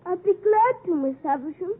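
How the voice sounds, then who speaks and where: A woman's voice: very high-pitched, animated, moderate pace. A woman playing a polite, timid, honest young village boy with a meek, earnest manner in a 1950s radio drama. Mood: reluctant, polite. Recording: muffled, faintly noisy 1950s radio recording.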